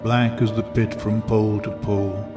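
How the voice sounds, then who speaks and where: Male reader, lowish voice, solemn and deliberate poetry recitation beneath loud music. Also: flat delivery.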